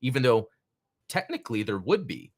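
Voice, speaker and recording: A man's voice: mid-range voice, big pitch swings, moderate pace. Chatty man hosting a live stream. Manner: rambling, chatty, reads out chat names. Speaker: podcast host. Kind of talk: casual live-stream chat.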